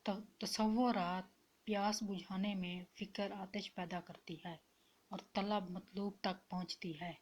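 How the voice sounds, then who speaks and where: A woman reading spiritual quotes as scripted narration, in a measured and deliberate manner. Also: medium pitch, steady tone, darkish tone.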